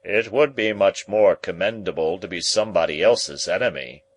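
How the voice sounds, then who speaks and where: A man plays a middle-aged gentleman from a storybook, pompous and contrary. He pronounces his opinions dryly, in a sententious and declamatory manner. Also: low-pitched, moderate pace. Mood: dry contradiction. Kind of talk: dramatised audiobook reading.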